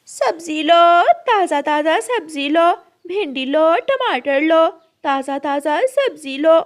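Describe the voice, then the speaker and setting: A woman voicing a worried, gentle mother crow in a cartoon story, talking anxiously and pleadingly. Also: very high voice, expressive, slow pace, voice pushed up, heavily acted. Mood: calling out. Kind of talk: Hindi cartoon story voice acting.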